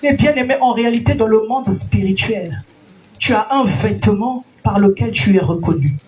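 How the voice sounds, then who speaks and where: A woman preacher delivering a sermon in an emphatic, sing-song manner, rising to shouts and calling out alleluia between questions to the congregation. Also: a little high, lively intonation, normal speed, soft background music. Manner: frequent alleluias and direct questions to listeners. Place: church gathering.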